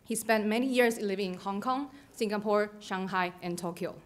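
A young woman at a conference podium, reading a speaker introduction in a steady, formal way into a podium microphone. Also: medium pitch, normal speed. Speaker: conference emcee.

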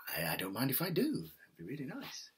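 A man voicing a curious, hesitant folktale villager, questioning and halting, in a husky voice. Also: mid-range voice, wildly swooping pitch, noisy background.

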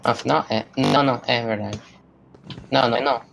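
Gravelly young man streaming. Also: mid-range voice. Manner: excitable, jokey, repeats words rapidly. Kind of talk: casual gaming chat.

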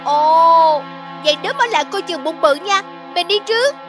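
A woman's voice: extremely high pitch, animated, normal speed, music in the background. A woman voicing a young mouse child in a cartoon, crafty, smug and childlike. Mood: friendly. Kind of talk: cartoon dubbing.

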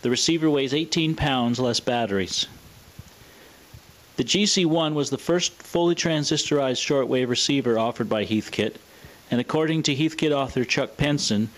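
A man presenting a scripted, explanatory product demonstration in a measured, matter-of-fact way. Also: medium pitch, poor audio. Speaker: presenter of a vintage radio showcase video.

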